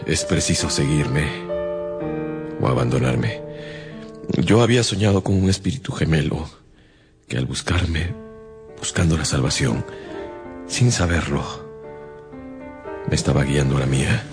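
An actor playing a stern, possessive priest who speaks slowly and solemnly in a radio drama. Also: male voice, very deep voice. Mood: insistent. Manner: slow, solemn, insistent. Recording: music behind the voice.

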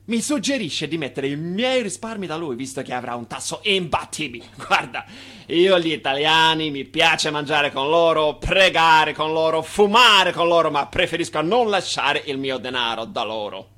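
A smug, cigar-smoking patron in a radio drama. His voice is sharp and a little high, chatty and condescending, prodding the listener with questions. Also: animated, normal speed. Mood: smug, patronizing. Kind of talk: radio play acting.

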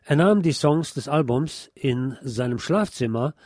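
A man's voice: mid-range voice, expressive, measured pace, darkish tone, no echo, studio quality. A man presenting a scripted film review as narration.

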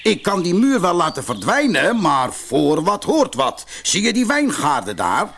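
Fairy-tale giant, done by a male narrator: suspicious, commanding, a bit mocking, very animated, raised pitch. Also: a little slow, gravelly, exaggerated voice. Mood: bargaining, commanding. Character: suspicious, mocking giant who bargains gruffly.

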